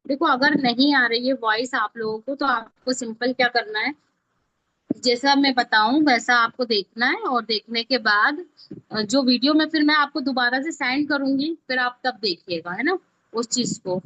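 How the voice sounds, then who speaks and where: Indian lady doing a casual live tutorial, highish voice, checks in with learners, kinda dull lofi sound. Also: moderate pace, bright, forceful tone, no room sound. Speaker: online instructor teaching mobile video editing. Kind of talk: live step-by-step tutorial, conversational. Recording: dull, lo-fi, close dry audio.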